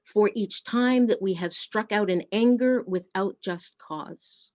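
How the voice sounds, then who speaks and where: A woman giving a solemn, deliberate scripted reading of poetry and litany as a worship service speaker. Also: medium pitch, darkish tone, lo-fi sound. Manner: measured, deliberate phrasing.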